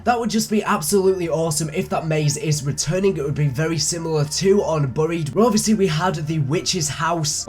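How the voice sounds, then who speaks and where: Eager young man, voice rough from sickness. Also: a little high, darkish tone. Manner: eager, speculative, talks things through. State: sick, voice a bit off. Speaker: YouTube gaming channel host. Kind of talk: game trailer breakdown commentary.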